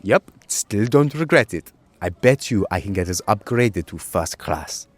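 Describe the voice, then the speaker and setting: A man's voice: fairly low pitch, expressive, measured pace, no room sound. A man playing a cheeky, theatrical young German showman in an audio drama, with a German accent. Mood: playful. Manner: theatrical, self-important, playful.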